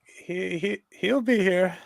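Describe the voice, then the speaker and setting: A man's voice: high voice, slow, gravelly, no echo, full character voice. A man voicing an earnest, gullible, hopeful little boy in a live cartoon table read.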